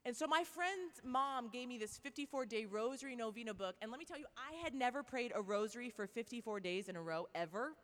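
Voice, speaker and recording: A woman in her twenties gives a lively faith talk to a crowd and tells her own story with animated, playful asides. Her voice sits a touch high for a woman. A long hall reverb trails each phrase. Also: fairly quick, hardly any pauses. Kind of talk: faith talk to a live audience.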